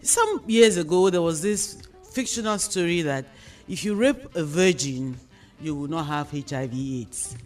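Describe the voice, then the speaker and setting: A Nigerian man with a slightly high voice speaks as a radio host. He frames questions on a serious social issue in deliberate, measured phrases. Music plays behind him. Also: expressive. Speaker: host of a radio discussion programme.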